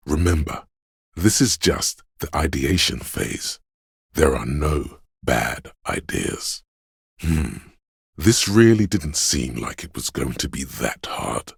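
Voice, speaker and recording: Very deep, gravelly, lofty inner muse. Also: male voice, dry recording, studio quality, heavily acted. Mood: musing. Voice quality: very deep gravelly husky bass. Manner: slow, deliberate, theatrical, pretentious art-critic delivery. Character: artistic inner voice of a detective's mind, lofty and critical. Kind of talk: dramatic game narration.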